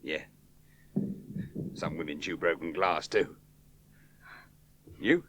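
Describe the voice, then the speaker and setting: A deep-voiced wry old flyer. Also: male voice, slow pace, fairly bright tone, a little hiss or hum. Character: ageing male aviator, wry heavy drinker. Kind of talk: 1970s radio drama acting.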